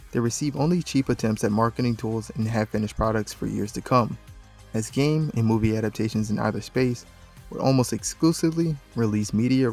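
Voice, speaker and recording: A man's voice: on the low side, a little mellow. Man narrating a documentary over music. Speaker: video essay narrator. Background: background music.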